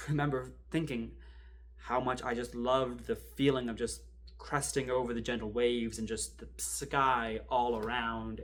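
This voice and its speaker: A man playing a wry, rattled archival assistant in a horror drama, rambling and sardonic as he records a halting confessional monologue. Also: medium pitch, fairly bright tone, a little hiss or hum, close to an everyday voice. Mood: reflective storytelling. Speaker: performer in a fan audio-video drama. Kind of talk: found-footage confessional monologue, conversational storytelling.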